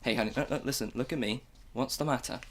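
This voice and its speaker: A woman playing a gruff blustering husband. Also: very deep voice, fast. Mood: worried. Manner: blustering, demanding answers. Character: anxious middle-aged husband and father. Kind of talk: student radio drama acting.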